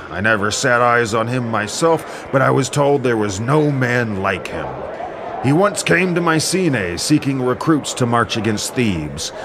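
A man's voice: medium pitch, background noise, voice pushed up. A man playing a haughty, commanding Greek warlord king in an epic audio drama, with declamatory, rhetorical delivery.

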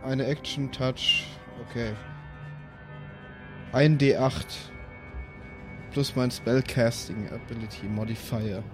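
A man's voice: mid-range voice, fairly even intonation, takes it slowly, long pauses. Male tabletop player, casual and hesitant, thinking aloud, music underneath. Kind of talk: casual improvised game chat. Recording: music bed behind the voice.

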